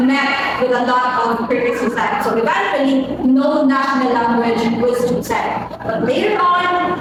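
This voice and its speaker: A young woman with a Filipino accent presenting on a student panel in a measured, recited, slightly hesitant way. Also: sits high. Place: large echoey room, far from mic. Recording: echoey hall, distant mic, noisy.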